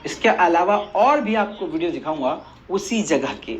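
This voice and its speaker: Male commentary host, sarcastic and pointed, lively swinging pitch, faint noise behind. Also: normal speed. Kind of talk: scripted video commentary, addressing viewers.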